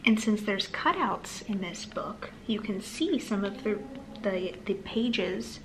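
A woman reading aloud to young children in a sing-song manner with gentle asides. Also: mid-range voice, lively intonation, slow pace, background noise. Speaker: children's storytime host and reader. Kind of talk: read-aloud storytime for toddlers, addressing young children. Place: small living room, on a sofa, indoors.

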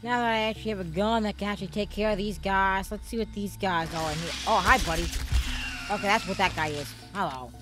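A woman giving let's play commentary in a rambling, filler-heavy way. Her voice often cracks, and she jumps into loud startled bursts. Game music plays clearly behind her. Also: mid-range voice, fast talker. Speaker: gaming streamer.

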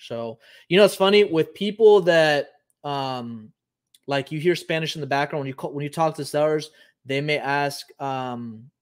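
A man's voice: on the high side, moderate pace, clean tone. A man in his thirties from Puerto Rico, hosting a live show and explaining casually while thinking aloud with frequent ums. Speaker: host. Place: home office, indoors.

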